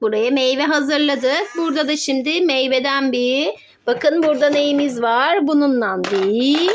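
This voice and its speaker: A woman's voice: high voice, slight background noise. A woman narrating pretend play for children as host, coaxing and giving simple step-by-step instructions like a mother to her child.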